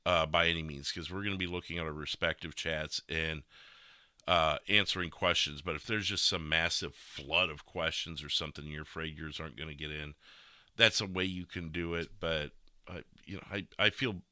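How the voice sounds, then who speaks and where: A man's voice: extremely low pitch, steady tone, moderate pace, sharp tone, no room sound, clean studio sound. A man hosting a casual podcast in a rambling, self-deprecating and joking manner. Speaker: podcast host and game streamer.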